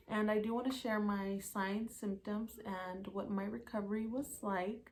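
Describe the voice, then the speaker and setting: A woman's voice: medium pitch, darkish tone, a little hiss or hum, rough recording. A young woman talking casually to her channel, recounting events day by day from her notes with plenty of fillers. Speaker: YouTuber. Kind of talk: casual vlog storytelling.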